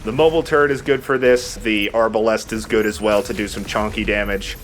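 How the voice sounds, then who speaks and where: Man, lowish pitch, casual gaming commentary, jokey, rambling, faint background noise. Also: steady tone, brisk. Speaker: let's play host.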